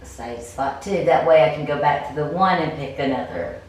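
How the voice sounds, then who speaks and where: A woman with a low voice reads fantasy narration at sight, slightly halting. She sounds set back from the mic in a strongly echoing room with faint noise. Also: far from the mic. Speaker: player reading a story game. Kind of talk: cold read of scripted fantasy narration.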